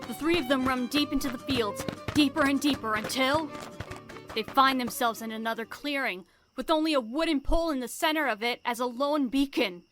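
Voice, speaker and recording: A woman's voice: high-pitched, moderate pace, a bit bright. A woman narrating a spooky fantasy audio drama as a dramatic, scripted storyteller. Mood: suspenseful. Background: music behind the voice.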